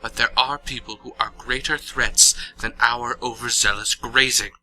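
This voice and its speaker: Man acting an ancient haughty vampire lord, sharp-edged. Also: mid-range voice, fairly even intonation, normal speed, poor audio. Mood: grave, urgent. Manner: imperious, formal, disdainful. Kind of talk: dramatic audio drama acting.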